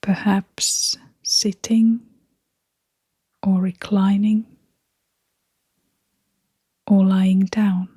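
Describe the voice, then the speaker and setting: Female meditation guide: hushed and breathy, level intonation, unhurried short phrases with long gaps, very dry close recording. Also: mid-range voice, flat delivery, no room sound. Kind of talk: guided meditation, soft-spoken.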